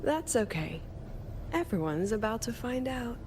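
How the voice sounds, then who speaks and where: A woman playing a gentle, composed female professor in a video game, speaking softly and in measured phrases. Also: a little high, big pitch swings, slight background noise. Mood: calm, teasing.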